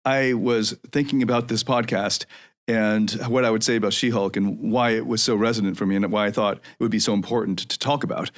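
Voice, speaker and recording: A man in his forties from North America hosting a podcast alone, speaking in a reflective, earnest way and often restarting as he thinks aloud. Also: on the low side. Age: 40s. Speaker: podcast host. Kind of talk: solo podcast monologue.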